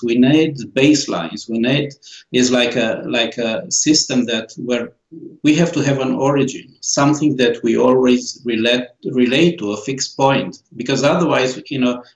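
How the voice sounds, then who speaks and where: A man's voice: mid-range voice, dull audio. Romanian-accented male author, forties, hesitant interview guest, roomy sound. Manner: slow, halting, many fillers and restarts. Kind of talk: interview answers.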